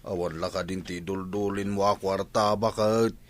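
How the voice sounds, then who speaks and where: A man's voice: low voice, steady tone, normal speed, lightly acted. An actor playing a hard-drinking husband with an injured foot in a radio drama, groaning and complaining at his wife. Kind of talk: Ilocano radio drama acting.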